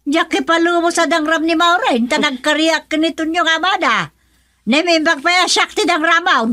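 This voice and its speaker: A woman's voice: very high-pitched, steady tone, moderate pace, bright, forceful tone, a little hiss or hum. A woman playing a defensive, scolding older mother-in-law in a radio drama, with an Ilocano Filipino accent. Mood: agitated, scolding. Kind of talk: melodramatic radio drama acting.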